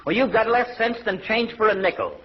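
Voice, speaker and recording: An actor playing a grumpy, pompous American town doctor with sardonic wit in a 1940s radio comedy. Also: male voice, high voice, sing-song. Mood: indignant. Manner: pompous, sardonic, deliberate phrasing. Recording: noisy, muffled old radio broadcast.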